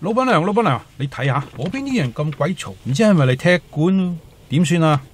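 A man's voice: a little high, lively intonation, fast, breathy edge. An actor playing a talkative, timid male waiter with a Hong Kong accent and comic exaggeration in a radio drama. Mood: alarmed. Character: chatty, timid young male teahouse waiter, eager joker.

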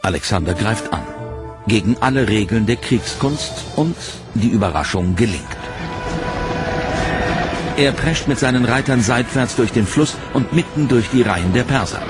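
A man giving scripted, measured documentary narration in a dramatic storytelling manner, over a music bed. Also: mid-range voice, animated. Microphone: studio voice-over mic.

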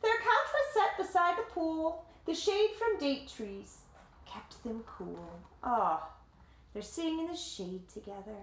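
A woman in her thirties reading a picture book aloud to children like a teacher, with dramatic, deliberate phrasing and simple explanations, recorded in a living room. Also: extremely high pitch, expressive, slight background noise. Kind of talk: expressive storybook read-aloud with explanatory asides. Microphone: camera or phone a short distance away. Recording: roomy home echo.

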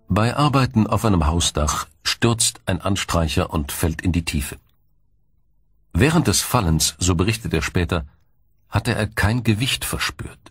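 A man narrating a scripted documentary in a measured, explanatory storytelling manner. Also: sits low. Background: music.

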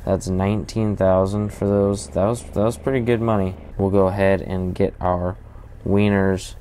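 A man with a notably low voice talks casually over a farming game he's playing, walking through each task as he goes. He rambles a bit and often pauses on ums and uhs. A faint hiss sits under the voice. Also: flat delivery, warm tone. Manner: easygoing, rambling, narrates his actions with many fillers. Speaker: gaming channel host. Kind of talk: casual gameplay commentary.

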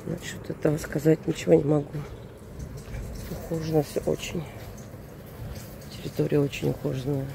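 Hoarse woman rambling on a morning walk. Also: low-pitched, little pitch movement, normal speed, long pauses, background noise. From Russian-speaking, living in Germany. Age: 40s. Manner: chatty, rambling, self-correcting. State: hoarse from a cold. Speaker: vlogger. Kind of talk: casual walking vlog commentary. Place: outdoors at a seaside resort in early morning.

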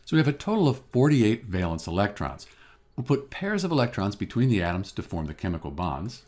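A man teaching chemistry in a calm, methodical voice-over, explaining step by step. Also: on the low side, brisk. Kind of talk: scripted lesson voice-over. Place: voice-over over a drawing screen.

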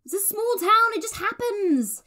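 An actress playing a sheepish, wry young bachelorette in an audio drama, talking casually with dry, hesitant delivery. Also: female voice, extremely high pitch, lively intonation, normal speed, pushed and bright, full character voice. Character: sheepish, wry young bachelorette confessing her love life.

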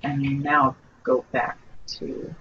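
A woman's voice: a bass voice, little pitch movement, drawn-out words, fairly bright tone, slightly rough. A woman talking casually on a work conference call. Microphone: conference call microphone. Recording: dull, faintly noisy conference-call audio.

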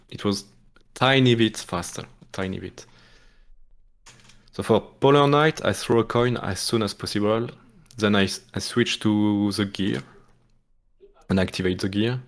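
A man from France with a French accent, explaining a game speedrun in hesitant live commentary full of restarts. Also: fairly low pitch. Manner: hesitant, many restarts and fillers, apologetic.

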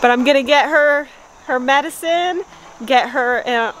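A woman's voice: sits high, unhurried, a bit bright, a little hiss or hum, rough recording. A young woman casually narrating a farm vlog, chatty with little laughs, filmed outdoors on a phone held at arm's length. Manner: chatty, light laughs, baby-talks to her dogs. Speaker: farm vlogger.

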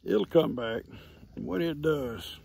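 A man, hoarse from losing his voice, chatting and teasing his farm animals outdoors into a phone held at arm's length. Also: a little high, lively intonation, slow pace, a little mellow. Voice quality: gravelly. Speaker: farm vlogger. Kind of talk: casual talk to animals and camera. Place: outdoors under a shade tree on a farm.

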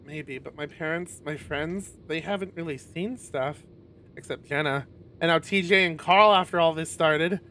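A man's voice: fairly high pitch, moderate pace, bright, forceful tone, a little hiss or hum. Man playing a nervous young otter captive. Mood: uneasy. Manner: hesitant, anxious. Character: frightened, evasive young male otter captive.

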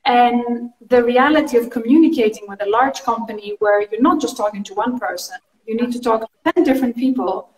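A woman in her thirties whose first language is Greek, speaking English as a thoughtful, expressive interview guest on a video call. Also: on the high side, fairly quick, few pauses, recorded from a distance. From Greece. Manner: thoughtful, expressive, steady flow. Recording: roomy video call audio from off-mic.

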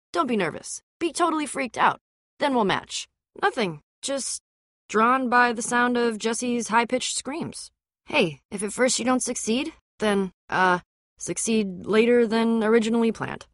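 Young female game adventurer, American accent, tough, sarcastic, self-assured, very expressive pitch, dry close sound. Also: no room sound. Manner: wry, brisk, confident. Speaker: video game voice actor. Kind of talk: animated adventure game dialogue.

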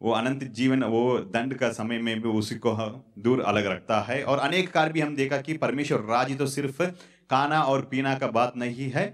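A man in his thirties preaching a sermon on a church stage into a handheld mic, halting and repetitive. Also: mid-range voice, fairly even intonation. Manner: halting, repetitive, fillers and restarts. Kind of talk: sermon with prayer. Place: church stage in front of curtains.

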